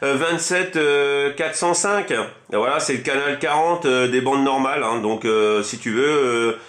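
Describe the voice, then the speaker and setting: A man's voice: medium pitch, a little slow, fairly bright tone. A man in a casual CB radio chat, speaking in a rambling, repetitive way as a hobby operator. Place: indoors at a fixed home radio station. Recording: roomy echo around the voice.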